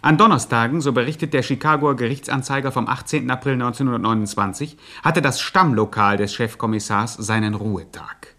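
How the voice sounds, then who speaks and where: An actor playing a precise, mock-serious chronicler, narrating a satirical radio crime play like a documentary. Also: male voice, mid-range voice, fairly quick, few pauses, sharp tone.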